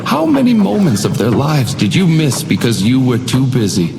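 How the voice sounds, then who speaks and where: A genteel video game doorman with silky menace, his lines swooping theatrically up and down in a medium-pitched voice. He taunts his guests in measured monologues, echoing over clearly audible music. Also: big pitch swings. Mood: accusing. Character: sinister, genteel hotel doorman tormenting guests.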